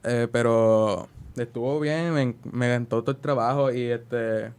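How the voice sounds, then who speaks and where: A man's voice: mid-range voice, fairly even intonation, normal speed, a little mellow, clear, resonant tone. A young man giving casual interview answers as a student guest, hesitant and searching for his words. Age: young adult.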